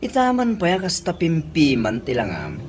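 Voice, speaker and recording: A woman's voice: low-pitched, wildly swooping pitch, normal speed, very noisy. A woman with an Ilocano accent playing a sardonic, chiding woman in a comedy radio drama. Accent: Ilocano, from the Philippines.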